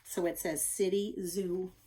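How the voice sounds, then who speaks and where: A woman reading a picture book aloud to young children as a teacher, with expressive, deliberate emphasis and questioning asides. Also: on the low side, rough recording.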